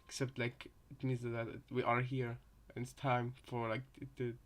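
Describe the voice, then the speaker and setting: Mid-pitched man, hesitant and rambling. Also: completely flat delivery, normal speed. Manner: hesitant, rambling, thinking aloud. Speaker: reactor to game voice lines. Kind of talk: casual reaction commentary.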